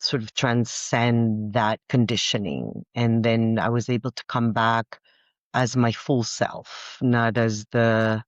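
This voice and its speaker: A woman's voice: rumbling low, dry recording, lo-fi sound. A woman, speaking as an architect guest in a thoughtful, earnest way. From Costa Rica. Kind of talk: reflective interview talk.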